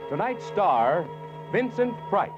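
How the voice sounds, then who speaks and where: A man's voice: sits high, sing-song, takes it slowly. A man announcing a 1940s radio show in a formal, projected manner. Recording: dull old radio broadcast, loud music.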